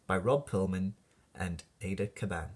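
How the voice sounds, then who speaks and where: A storyteller reading a picture book aloud to young children with playful, deliberate, drawn-out phrasing. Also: low voice, darkish tone, breathy edge. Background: music and sound effects.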